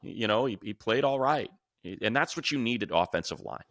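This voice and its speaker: A man's voice: lowish voice, expressive, brisk, vocal fry, fairly bright tone, no room sound, clean studio sound. A man hosting a sports talk show, casually analysing in an emphatic, conversational way and repeating points for stress.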